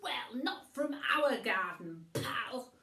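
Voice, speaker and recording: A young beast boy, brash and bossy as a woman storyteller puts him on. He snorts indignantly in a lively storybook voice that leaps about in a roomy space. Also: on the high side, slow pace, heavily acted. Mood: threatening, gruff.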